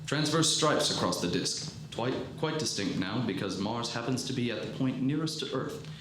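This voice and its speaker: A man playing a learned, skeptical 1930s astronomy professor in a radio play, measured, precise and scholarly. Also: medium pitch, expressive, close to an everyday voice. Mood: composed, skeptical. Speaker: actor. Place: live theatre stage. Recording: very echoey, noisy live stage recording.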